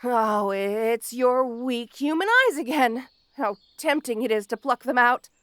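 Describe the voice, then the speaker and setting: A woman playing a petty, obsessive supervillain in an audio roleplay, gloating haughtily and speaking straight to the listener with theatrical pauses. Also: on the high side, expressive, a bit bright, no echo. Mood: bitter, grandiose. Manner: gloating, haughty, dramatic pauses and sudden swoops. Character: petty, obsessive, melodramatic female supervillain with a grudge.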